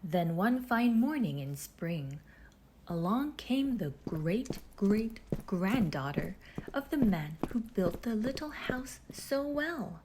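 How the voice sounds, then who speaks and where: A woman reading a children's storybook aloud in measured phrases with deliberate pauses, her husky voice turning breathy as phrases end. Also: medium pitch, sing-song, background noise. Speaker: storybook reader. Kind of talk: children's read-aloud narration.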